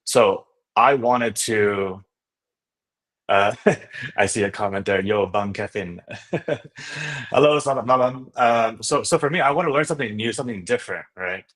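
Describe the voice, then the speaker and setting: A man's voice: on the low side, moderate pace, long pauses, a bit bright. A man from Indonesia, a native Indonesian speaker, telling his story enthusiastically as a guest in a casual interview, often checking in with "you know" and "right?". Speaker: podcast guest, startup founder and investor.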